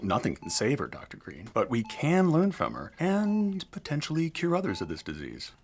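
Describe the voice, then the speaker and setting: An actor playing a cool, politely controlling private hospital doctor in an audio drama, speaking clinically. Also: male voice, on the high side, huge pitch swings, moderate pace. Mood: coolly businesslike. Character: smooth, cold male doctor running private hospital. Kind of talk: scripted audio drama acting.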